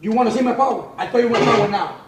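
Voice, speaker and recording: A man's voice: very high-pitched, racing, reverberant, far from the mic, noisy background. A man from Cuba with a Cuban Spanish accent and broken English, making threats and repeating himself. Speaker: home seller.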